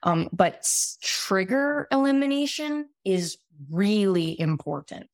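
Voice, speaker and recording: A woman in her late thirties with a raspy voice, explaining things conversationally as an expert guest, often halting and restarting her phrases. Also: mid-range voice, sing-song, no room sound, clean studio sound. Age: late 30s. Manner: halting, self-interrupting, many repeated words. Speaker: podcast guest, medical doctor.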